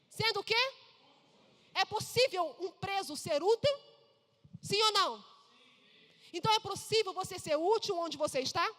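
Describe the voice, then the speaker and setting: A woman's voice: extremely high pitch, a bit bright. A woman pastor with a Brazilian accent preaching emphatically, repeatedly calling for responses from the congregation. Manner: emphatic, calls for audience responses. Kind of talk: sermon. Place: church. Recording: reverberant church hall.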